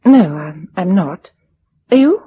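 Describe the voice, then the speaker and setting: A woman's voice: lowish voice, very animated, slow, breathy edge, full character voice. An actress playing a spirited, well-bred young woman in a radio drama, with an upper-class British accent and refined, playful delivery. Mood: candid. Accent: upper-class British English. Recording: dull, muffled old radio recording.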